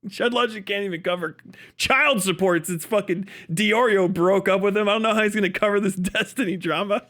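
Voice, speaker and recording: A man's voice: sits high, moderate pace, fairly bright tone. A man giving casual livestream commentary in an emphatic, rambling, profane way. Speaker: streamer.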